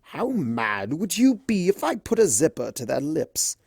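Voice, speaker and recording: A sly male trickster spirit acted out by a story reader, mischievous and protective. The delivery is theatrical and drawling, swooping around in pitch like a cartoon schemer. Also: huge pitch swings, measured pace, creaky, darkish tone, breathy edge, no echo, studio quality, exaggerated voice. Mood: gleeful scheming.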